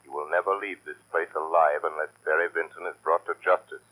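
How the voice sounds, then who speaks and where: A man acting a sinister, commanding, mocking unseen avenger in an old radio drama, with a sharp, husky voice and deliberate, ominous delivery. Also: a bass voice, fairly even intonation, full character voice. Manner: deliberate, ominous, authoritative declarations. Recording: old scratchy radio recording.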